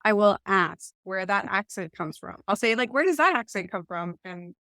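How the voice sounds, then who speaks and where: A woman's voice: medium pitch, lively intonation, moderate pace, pushed and bright, no echo, studio quality. A woman with an Eastern Ontario Canadian accent chatting casually as a podcast co-host, in a rambling, anecdotal way. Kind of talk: casual conversational discussion.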